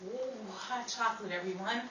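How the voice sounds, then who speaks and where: A woman's voice: medium pitch, brisk, close to an everyday voice. A woman playing a grand, chatty announcer and narrator of a 1930s-style radio show. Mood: showy, welcoming. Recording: roomy, distant, noisy recording with crowd.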